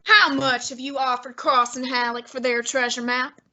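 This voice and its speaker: A woman playing a fussy, imperious, heavyset male detective in a student radio drama, speaking in a haughty, deliberate, commanding way with a breathy tone. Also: high voice, flat delivery, bright, forceful tone, highs cut off, heavily acted. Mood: interrogating.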